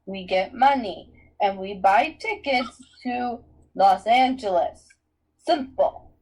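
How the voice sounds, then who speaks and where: Woman reading a slow, no-nonsense teenage girl. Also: a little high, lively intonation, darkish tone, poor audio. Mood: businesslike. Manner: dry, matter-of-fact.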